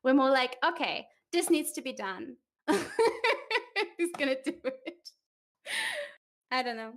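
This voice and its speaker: Woman from Austria, higher voice, animated and informal company livestream talk. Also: takes it slowly. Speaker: company communication manager, livestream participant. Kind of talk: casual chat.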